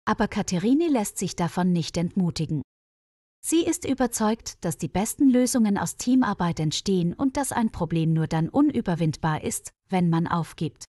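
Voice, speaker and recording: A woman reading a scripted story as a narrator for language learners, in a measured read-aloud manner. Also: lowish voice, lively intonation, dark tone, dry recording, studio quality.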